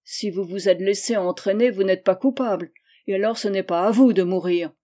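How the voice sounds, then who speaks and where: A woman reading the part of an earnest young man, speaking with steady, measured persuasion in her own voice. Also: medium pitch, lightly acted. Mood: firm. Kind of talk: audiobook dialogue reading.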